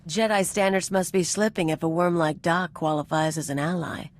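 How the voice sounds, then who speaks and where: An actress voicing a tough, aloof woman mercenary in a video game, low-pitched, dry and sardonic. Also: moderate pace, natural voice. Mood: bored, contemptuous. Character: scornful, tough, aloof woman gun-for-hire.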